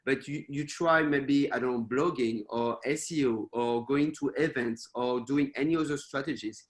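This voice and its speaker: Male guest speaker, French accent, hesitant webinar delivery over a call mic. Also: mid-range voice. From France. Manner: halting, many fillers and restarts. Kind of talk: presenting to a webinar. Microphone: video call.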